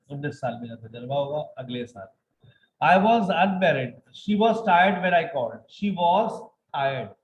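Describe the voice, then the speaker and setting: A man's voice: fairly high pitch, muffled recording, a little hiss or hum. Man in his thirties teaching grammar. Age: 30s. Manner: switches between quick explanations and slow, dictated example sentences. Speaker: English teacher. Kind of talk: online grammar lesson.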